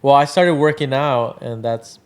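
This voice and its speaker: A man from Venezuela in his thirties talks at an easy, unhurried pace. His voice sits at an ordinary middle pitch. He rambles with plenty of fillers. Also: clear, resonant tone. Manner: relaxed, rambling, many fillers. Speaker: guest, drink brand founder. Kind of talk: casual interview chat.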